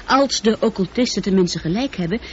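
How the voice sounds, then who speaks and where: A woman playing a calm, thoughtful female ship's computer with a precise, measured delivery and a touch of loneliness, in a radio drama. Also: mid-range voice, lightly acted. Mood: thoughtful, explanatory. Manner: precise, factual, composed. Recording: dull, noisy old radio drama recording.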